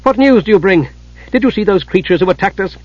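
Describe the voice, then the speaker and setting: A man's voice: sits high, lively intonation, quick pace, no room sound, muffled recording, pitched above the performer's own voice. A man voicing an earnest, deferential young male apprentice mage, as in a fantasy audiobook. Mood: glad.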